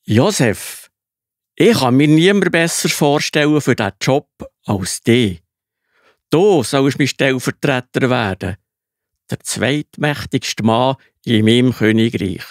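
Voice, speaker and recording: A male actor plays a grand Pharaoh with a Swiss German accent in a children's audio play. He speaks slowly, with big swings in pitch, in a dry recording. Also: expressive, no echo. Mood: decisive. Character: troubled male Pharaoh, grand ruler of Egypt. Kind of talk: children's Bible audio drama.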